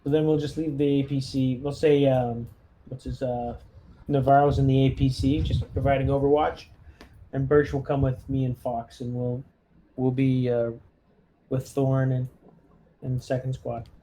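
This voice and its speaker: A man's voice: medium pitch, little pitch movement, moderate pace, dark tone, low-quality recording. A man talking casually as he directs a squad in a tabletop roleplaying game. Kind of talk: casual game-session chat.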